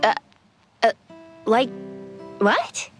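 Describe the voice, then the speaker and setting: High, swooping, cheeky young gunsmith. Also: female voice, sing-song, extremely slow, soft background music, full character voice. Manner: perky, teasing. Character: cheeky, excitable young female gunsmith inventor. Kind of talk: cartoonish game cutscene acting.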